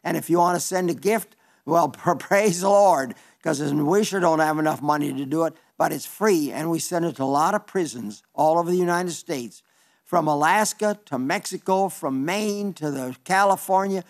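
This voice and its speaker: A man's voice: on the high side, normal speed. An elderly man preaching a folksy radio sermon, reading listener letters with chatty asides and small stumbles. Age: older.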